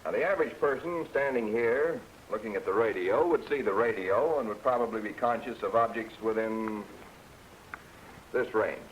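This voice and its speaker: A man's voice: fairly low pitch, normal speed. American man narrating noisy 1940s training film. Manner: explanatory, plain-spoken, addresses the learner directly. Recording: noisy 1940s film soundtrack, aircraft drone.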